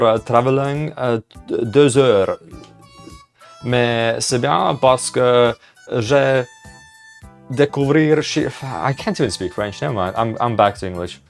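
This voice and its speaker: A young man answering viewer questions in a casual vlog, rambling, self-mocking and swearing offhandedly. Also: mid-range voice. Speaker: vlogger.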